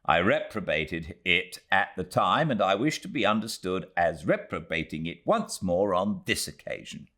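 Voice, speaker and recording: Casting: British man as self-righteous widowed housekeeper narrator, classic novel, formal and prim, low register, expressive intonation. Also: normal speed, few pauses, exaggerated voice. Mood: prim, earnest.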